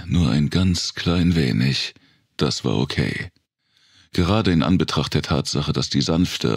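A man narrating a dark thriller audiobook in a gravelly, raspy voice, with measured, dramatic storytelling. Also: a bass voice, dry recording, clean studio sound.

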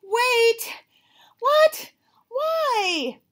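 A woman voicing an impatient, melodramatic young male elephant in a storybook, whining and wailing with drawn-out words. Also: very high-pitched, big pitch swings, fairly bright tone, pitched above the performer's own voice, full character voice. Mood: distraught. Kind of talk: storybook read-aloud character.

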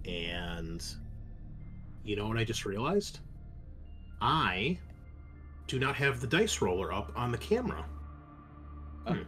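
A man narrating casually as game master, improvising in a halting way. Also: lowish voice, big pitch swings, fairly bright tone, faint music behind.

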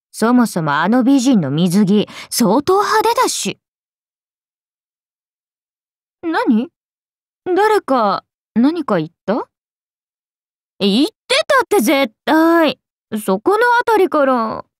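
A woman's voice: big pitch swings, slow pace, long pauses, no room sound, studio quality, heavily acted. A woman voicing a playful, teasing serpent-oni goddess for a mobile game, with breezy big-sister airs and a drawling, sake-loving manner. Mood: casual, puzzled. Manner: breezy, drawling, teasing, slipping into low murmurs. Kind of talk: Japanese mobile game character voice lines.

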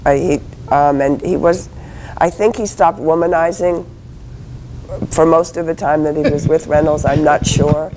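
A woman panelist at an academic conference, answering haltingly with many fillers and restarts. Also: low voice, steady tone, mellow, a little hiss or hum, rough recording. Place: hotel conference room.

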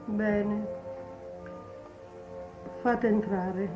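Slow, imperious old marchioness. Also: female voice, medium pitch, steady tone, over music. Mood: commanding. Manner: haughty, measured, commanding. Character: imperious, cold old marchioness, terse and commanding. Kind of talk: 1950s Italian television period drama acting. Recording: dull 1950s TV soundtrack.

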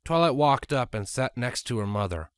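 A man's voice: medium pitch, expressive, moderate pace, clean tone, no echo, clean studio sound. A man reading scripted story narration as an audiobook narrator.

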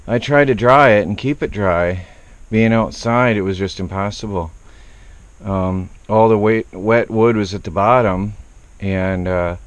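A man with a fairly low voice vlogs from his rural yard. He explains himself defensively to critical viewers in a casual way. The sound is dry, from a small camera mic outdoors. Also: clear, resonant tone, no room sound, highs cut off. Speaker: homesteading vlogger. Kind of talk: casual vlog talk to viewers.

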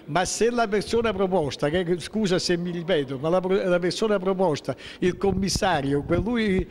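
A man in his sixties with a southern Lazio Italian accent, speaking emphatically and with grievance in an impromptu interview, halting and restarting. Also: high voice, big-room sound. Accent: central-southern Italian, southern Lazio. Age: 60s. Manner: emphatic, aggrieved, halting with repeated rhetorical questions. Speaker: interviewee, local political figure. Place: indoor hallway of a public building. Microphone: handheld interview microphone held toward him.

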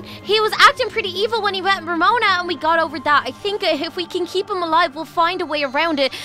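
A woman's voice: very high voice, sharp tone. A woman playing an earnest, bossy young princess sister in a children's game roleplay. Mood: concerned. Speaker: roleplay channel performer.

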